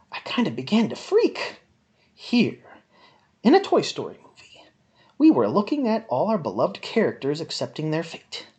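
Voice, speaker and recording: Melodramatic woman with wildly swooping pitch. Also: dark tone. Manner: melodramatic, overwrought, with drawn-out emphatic phrases. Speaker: reader of a written film review. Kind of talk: theatrical dramatic reading.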